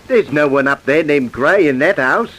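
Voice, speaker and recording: An actor playing an alarmed, deferential passer-by in an old-time radio drama, speaking with drawn-out emphasis. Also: male voice, a little high, animated, a bit bright. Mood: concerned, insistent. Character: alarmed, deferential bystander in the street.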